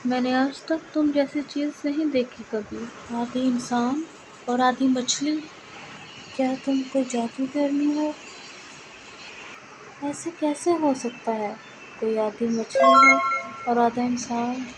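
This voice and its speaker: Woman voicing a plaintive, high-voiced lonely boy. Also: fairly even intonation, takes it slowly, noisy background. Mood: puzzled. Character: lonely young boy, wistful and doubting.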